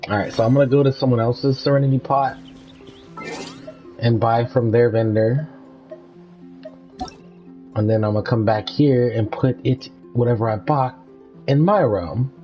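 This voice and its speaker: A young man chats informally as he streams a game. His voice sits at an average pitch, with slangy, offhand phrasing. The audio is a little dull, and music plays faintly underneath. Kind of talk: casual live gameplay commentary.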